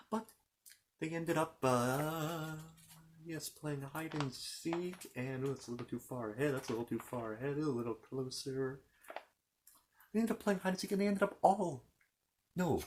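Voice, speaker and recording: A man's voice: mid-range voice, a little mellow, a little hiss or hum. A man telling a children's fantasy story in an improvised ASMR style, rambling along with asides and repeated phrases.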